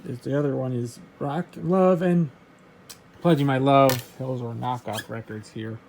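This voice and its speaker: Gravelly man reading off record labels. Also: medium pitch, fairly even intonation, darkish tone, slight background noise. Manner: reads off record titles, halting and offhand. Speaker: record collector. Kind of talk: casual show-and-tell vlog.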